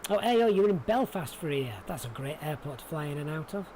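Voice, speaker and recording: Man, Yorkshire accent, slightly high voice, informal livestream commentary, chatty, thinking aloud, noticeable background noise. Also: expressive, quick pace, a little mellow, poor audio. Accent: Yorkshire English. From Sheffield, England. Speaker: flight simulator livestreamer. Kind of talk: casual live-stream commentary, chatting with viewers.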